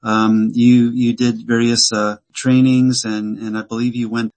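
A man's voice: mid-range voice, level tone, unhurried. Encouraging male interviewer. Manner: warm, encouraging, affirming. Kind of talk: interview questions and affirmations.

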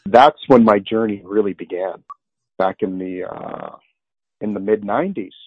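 A man's voice: fairly low pitch, a little slow, breathy edge, dry recording. Earnest man on a narrow phone line. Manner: earnest, rambling with restarts and fillers. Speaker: interview guest. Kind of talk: conversational testimony.

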